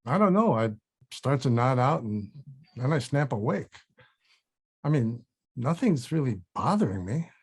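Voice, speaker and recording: A man acts out an older actor, weary and wry, in a comedy-drama table read. He talks to an old friend in a loose, conversational way, at a middling male pitch. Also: sing-song, measured pace, pauses often, breathy edge, exaggerated voice.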